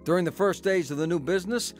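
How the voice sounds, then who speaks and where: An actor playing a tough, cocky American tavern owner in a radio drama, blunt and wisecracking. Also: male voice, sits high, fast, soft background music, natural voice. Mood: reminiscing.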